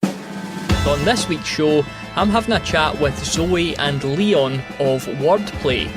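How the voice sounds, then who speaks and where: A man hosts an interview podcast in a conversational way. His voice sits a little high for a man, and music plays clearly behind him. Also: slow. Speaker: podcast host interviewing guests. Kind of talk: conversational show introduction.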